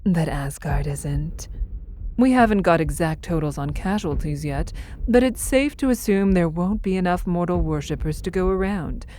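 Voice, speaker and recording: A woman playing a confident, shrewd goddess in an audio drama, speaking in a measured, wry and persuasive way. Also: lowish voice, mellow, a little hiss or hum, lightly acted. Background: background music.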